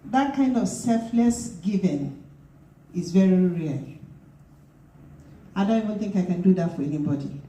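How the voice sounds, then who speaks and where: A woman from Nigeria speaks at a memorial in a slow, earnest and heartfelt way, and her voice is very dark and mellow. She is heard from a distance in an echoey room with a faint wash of noise. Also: on the low side, animated. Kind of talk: memorial tribute speech. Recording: roomy hall mic from far off with background noise.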